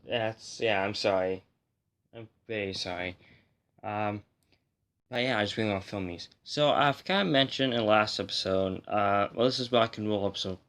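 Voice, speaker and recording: Low-voiced, slightly hoarse man rambling casually. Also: fairly bright tone. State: slightly hoarse, getting over a cold. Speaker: host of a music history video series. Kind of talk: casual explainer talk to viewers.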